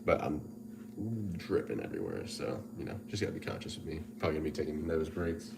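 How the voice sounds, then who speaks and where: Deep-voiced congested man. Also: fast, vocal fry, background noise. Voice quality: nasal. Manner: relaxed, chatty, repeats himself, reads out viewer comments. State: congested from a head cold. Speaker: personal trainer and gym owner. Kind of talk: casual livestream chat.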